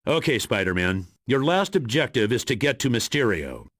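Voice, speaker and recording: A gruff spy chief, husky, dry close sound, expressive pitch, commanding, video game mission briefing. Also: male voice, normal speed, no echo. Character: gruff, authoritative male spy agency commander.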